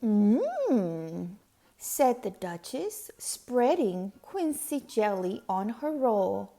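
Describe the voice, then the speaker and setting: A woman narrates a children's picture book in a mellow, relaxed voice. She reads very slowly, setting out each phrase with care. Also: fairly high pitch, vocal fry. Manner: deliberate, measured phrasing. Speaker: read-aloud storyteller.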